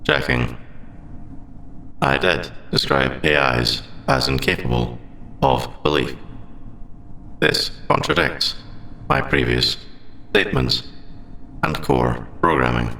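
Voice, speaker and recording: A man voicing a formal, conflicted, lovestruck artificial intelligence in a scripted ASMR audio roleplay, speaking in halting, clipped robotic phrases. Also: medium pitch, wildly swooping pitch, gravelly, pushed and bright, roomy sound, slight background noise, heavily acted. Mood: uneasy, confused. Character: lovestruck sentient AI, formal, conflicted, hesitant about forbidden feelings.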